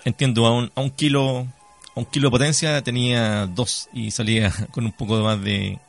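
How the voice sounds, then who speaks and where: A man's voice: medium pitch, fairly even intonation, normal speed, creaky, faint music behind. A man with a Chilean accent hosting a live radio interview, addressing his guest in a courteous, formal way. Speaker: radio host.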